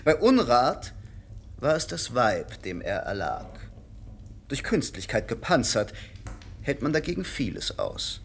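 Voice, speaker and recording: An actor in a radio drama playing a vain, cultivated stage actor and director who speaks in polished, ironic aphorisms. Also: male voice, medium pitch, wildly swooping pitch, measured pace, gravelly, slight background noise, exaggerated voice. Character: vain, cultivated man of the theatre, champion of artifice.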